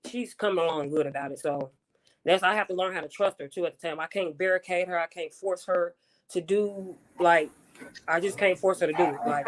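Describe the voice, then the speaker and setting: A woman's voice: lowish voice, a bit bright. Earnest mother speaking loosely. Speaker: participant, mother of a teen. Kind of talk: casual group discussion.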